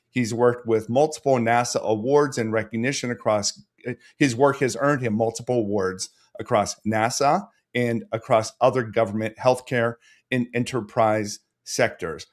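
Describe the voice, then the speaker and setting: A man hosting a podcast, reading a guest introduction and then chatting in an upbeat, conversational way. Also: medium pitch. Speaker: podcast host. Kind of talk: conversational interview.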